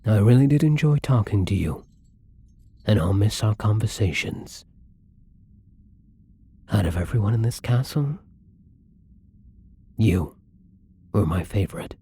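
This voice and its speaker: Husky, creaky, soft-spoken vampire played by a man. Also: lowish voice, animated, full character voice. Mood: sad, resigned. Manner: soft, tender, halting, wistful. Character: lonely, gentle, wistful male vampire captive in castle. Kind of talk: intimate ASMR roleplay acting.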